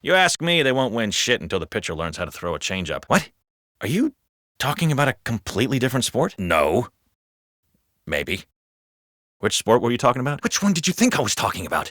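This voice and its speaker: A man with an American accent playing a wisecracking, foul-mouthed city cop in video game banter, colloquial and expressive. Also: lowish voice, no echo, studio quality. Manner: expressive, colloquial, comically exaggerated.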